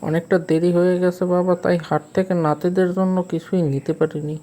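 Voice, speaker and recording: Cartoon jinn in an old man's guise, played by a man: high-pitched, mellow, kindly village elder. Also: level tone, normal speed, lightly acted. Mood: fatherly, coaxing. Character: jinn disguised as an elderly village father-in-law.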